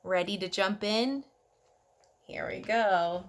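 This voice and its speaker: A woman hosting a story time for young children, speaking in an expressive, sing-song and encouraging way. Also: medium pitch, takes it slowly. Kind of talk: read-aloud addressed to young children.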